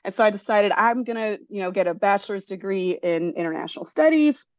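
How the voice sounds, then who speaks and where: A middle-aged woman who grew up in Brazil speaks both Portuguese and English. As an interview guest she rambles, full of fillers and restarts. Her voice sits at an ordinary pitch, and the recording is dry with no room sound. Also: expressive, fairly quick, no echo. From born in the United States, raised in Brazil. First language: bilingual in Portuguese and English. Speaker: interview guest, forest school founder. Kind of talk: casual interview conversation.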